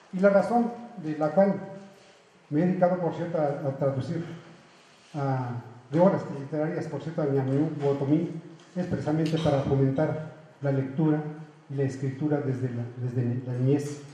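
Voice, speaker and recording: A man's voice: fairly high pitch, warm tone. Mexican man in an echoey room. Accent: Mexican Spanish. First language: Otomi. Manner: earnest, with frequent restarts and self-corrections. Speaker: university language teacher. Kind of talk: reading aloud then explaining.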